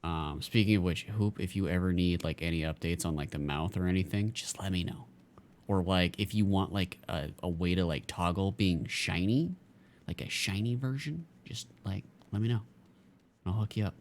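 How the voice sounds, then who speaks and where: A man's voice: low voice, moderate pace. A man streaming a game, chatting casually and rambling with lots of fillers and restarts. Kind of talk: casual chat while gaming.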